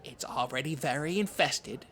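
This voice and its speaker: A performer playing a fussy, easily flustered small-town man, halting, prim and defensive in improvised comedy chat. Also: mid-range voice, a bit bright, lightly acted. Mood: sheepish, halting. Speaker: co-host of a comedy podcast.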